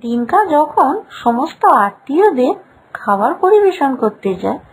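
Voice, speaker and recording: A woman's voice: high voice, sing-song, measured pace, a little mellow, a little hiss or hum, low-quality recording. A woman narrator reading a scripted fairy tale.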